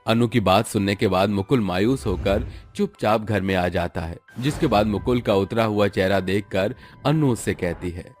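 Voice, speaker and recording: A man's voice: lowish voice, normal speed, hardly any pauses, darkish tone, faint music behind. A man narrating a scripted moral story as the storyteller.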